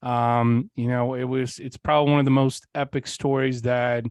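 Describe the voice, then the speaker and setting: A man's voice: medium pitch, flat delivery, moderate pace, a little mellow, clear, resonant tone, dry recording. Man from Bulgaria, forties, podcast guest, resonant voice, dry close sound, candid and rambling. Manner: candid, rambling, with frequent fillers and occasional swearing. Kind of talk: casual interview storytelling.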